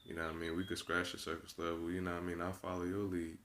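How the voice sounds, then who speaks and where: A young man in his twenties with a low voice delivers an unscripted monologue to his viewers. He is blunt and profane, preaching his point and circling back on the same phrases for emphasis. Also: monotone, rapid-fire, a bit bright. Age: 20s. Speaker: vlogger.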